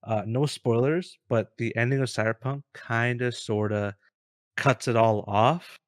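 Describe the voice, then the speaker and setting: A man's voice: medium pitch, fairly even intonation, measured pace, no echo, clean studio sound. A man co-hosting a gaming news show, chatting casually in an earnest, informal, appreciative way. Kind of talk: casual chat.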